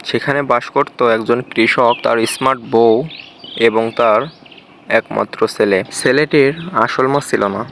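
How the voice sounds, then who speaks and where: A man serves as storyteller and host, narrating a scripted fairy tale. His pitch is average for a man. There is faint noise behind him. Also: fairly even intonation, takes it slowly, vocal fry, a bit bright.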